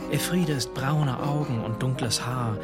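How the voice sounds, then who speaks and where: Male narrator for literary radio play, mid pitch, slow measured phrasing, music underneath. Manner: measured, short poetic phrases.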